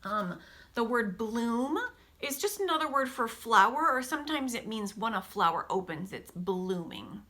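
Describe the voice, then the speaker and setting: A woman teacher reading a picture book aloud to children, expressively, with casual, hushed explanatory asides. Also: fairly high pitch, a little slow. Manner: animated storybook delivery, dropping to hushed asides.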